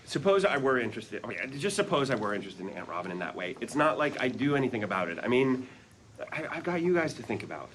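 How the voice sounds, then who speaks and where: A man's voice: medium pitch, sing-song, fast, fairly bright tone. An actor reading the part of an earnest, defensive middle-aged father telling his children a story in a sitcom script. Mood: flustered, excited. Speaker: actor at a script table read. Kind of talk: sitcom script read aloud. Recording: noisy live room ambience.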